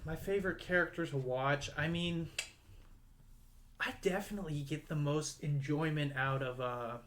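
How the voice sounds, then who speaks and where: A young man with a slightly raised pitch rambles casually as a podcast co-host. He strings thoughts together with lots of fillers. A faint noise runs under the recording. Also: normal speed, a bit bright. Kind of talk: casual chat.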